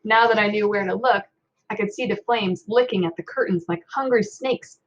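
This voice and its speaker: A woman narrating a children's book aloud in an expressive storytelling style. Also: medium pitch, normal speed, dull audio.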